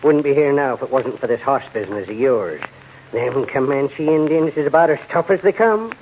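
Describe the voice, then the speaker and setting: An actor playing a talkative, forgetful old cowboy sidekick with an American accent and a folksy, rambling manner in a Western radio play. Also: male voice, medium pitch, expressive, normal speed, lightly acted. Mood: chatty, grumbling. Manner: folksy, rambling, hesitant drawl. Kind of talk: 1950s Western radio acting. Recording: muffled old radio recording with noise.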